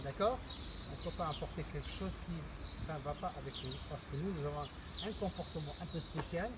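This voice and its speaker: A man's voice: fairly high pitch, quick pace. A man with a Tunisian accent giving a spontaneous guided tour, explaining things and repeating words for emphasis. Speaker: artist and cultural centre owner showing a visitor around. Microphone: visitor's handheld phone. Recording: noisy phone recording on location.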